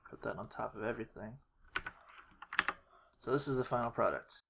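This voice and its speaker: A man thinking aloud through a casual screen-recorded tutorial, halting and self-deprecating, muttering to himself. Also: medium pitch, creaky. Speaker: video creator demonstrating editing. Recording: noisy home mic with keyboard clicks. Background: typing and mouse clicks.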